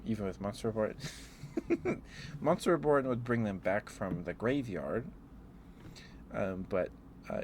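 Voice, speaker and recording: A man streaming rambling, hesitant ASMR chat in a meandering way, close to the microphone. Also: low voice. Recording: clearly noisy room ambience.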